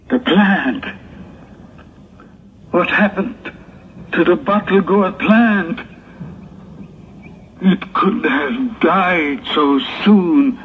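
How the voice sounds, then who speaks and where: An actor playing an aggrieved, self-pitying Hebrew prophet in a 1960s religious audio drama, with theatrical, drawn-out sighs and moans. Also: male voice, sits high, exaggerated voice. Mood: dismayed. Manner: theatrical, drawn-out, sighing and moaning monologue. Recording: tinny, noisy 1960s tape recording.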